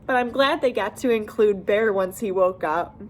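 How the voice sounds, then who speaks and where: A woman reading a picture book aloud to young children in an expressive, deliberate, animated way. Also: mid-range voice. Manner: animated, deliberate, addresses listeners with questions. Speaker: children's storybook reader.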